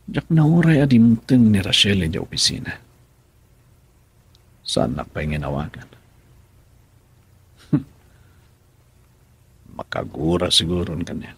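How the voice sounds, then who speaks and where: A brooding, irritated husband in an Ilocano radio drama. He speaks slowly in a very raspy voice with wide swings of tone and an Ilocano accent. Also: deep voice, expressive, heavily acted.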